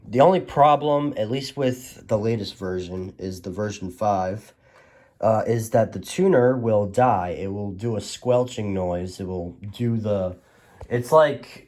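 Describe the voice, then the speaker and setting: A man's voice: on the low side, a little mellow. A man giving a casual, rambling showcase of his collection, talking informally and hesitantly as he presents each item. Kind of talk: casual product showcase narration.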